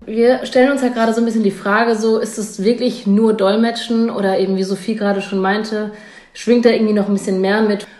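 A woman's voice: medium pitch, little pitch movement, fairly quick, darkish tone. A woman co-hosting a podcast, talking reflectively and posing questions, with casual fillers. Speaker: podcast co-host. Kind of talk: scripted podcast narration. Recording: roomy sound with faint music.

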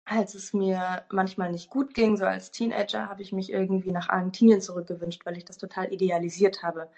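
A young woman from Germany giving a reflective personal account, often pausing to search for words. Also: medium pitch, level tone, dark tone, muffled recording. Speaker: interviewee.

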